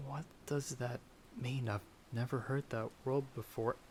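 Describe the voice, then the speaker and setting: A man playing a frightened but defiant young traveler in a dramatic audio roleplay, with breathless, halting, intense delivery. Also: medium pitch, fairly even intonation, close to an everyday voice. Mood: wary, confused. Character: frightened, defiant young male traveler turned half-vampire. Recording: noisy track with storm ambience.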